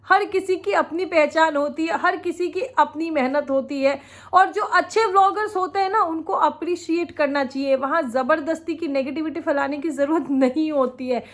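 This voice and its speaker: Chatty high-voiced woman vlogger with a cold. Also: moderate pace, fairly bright tone. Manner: chatty and opinionated, laughs often. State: sore throat from a cold. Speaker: vlogger reacting to other creators. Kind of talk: casual reaction vlog commentary.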